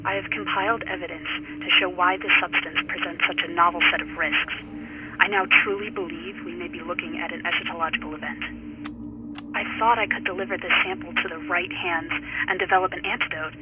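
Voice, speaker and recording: A man playing a grave, remorseful scientist in a video game, speaking formally on a filtered recorded log. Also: high-pitched. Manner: formal, solemn, articulate. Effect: recorded log over radio-like filter. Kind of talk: dramatic video game acting. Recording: tinny phone-like log, loud noise.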